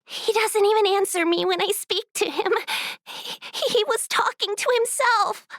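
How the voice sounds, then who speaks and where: An actress voicing a hot-headed, wounded young woman in a video game, halting and emotional as she talks. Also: very high-pitched, fairly bright tone, no echo, studio quality, exaggerated voice. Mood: upset. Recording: music behind the voice.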